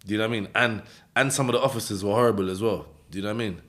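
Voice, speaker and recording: A London man tells his story in heavy street slang, his low voice jumping up whenever he acts out someone else and breaking into laughs. The talk is loose and casual, with lots of "innit" and "you know what I mean". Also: very fast, fairly bright tone. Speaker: interview guest.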